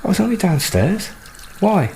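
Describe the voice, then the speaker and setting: An actor playing a harried young British husband in a naturalistic radio drama, hesitant, brusque and apologetic. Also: male voice, high-pitched, very animated, moderate pace, a little mellow, exaggerated voice. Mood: exasperated, dismissive. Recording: roomy sound with clear background noise.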